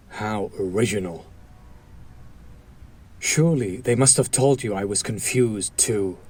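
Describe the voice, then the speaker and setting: Slow-talking fallen hero turned villain, acted by a man. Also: on the low side, a little mellow, slightly rough. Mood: mocking, sardonic. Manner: deliberate, sardonic, theatrical, with long pauses. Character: bitter fallen hero turned villain, protective of former sidekick. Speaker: voice actor. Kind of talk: dramatic audio roleplay monologue addressed to listener.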